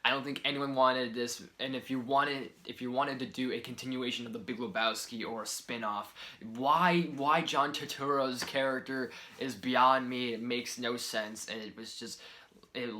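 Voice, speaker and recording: A man giving casual film-ranking commentary in a soft, near-whispered delivery, rambling and exasperated with many fillers. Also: medium pitch. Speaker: movie reviewer.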